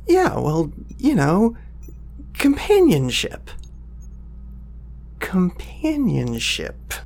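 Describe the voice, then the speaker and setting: A man's voice: sits high, huge pitch swings, drawn-out words, creaky, a little mellow, exaggerated voice. A flustered young male office assistant acted by a man in an audio drama. His voice swings up and down as he hesitates politely and keeps sounding apologetic.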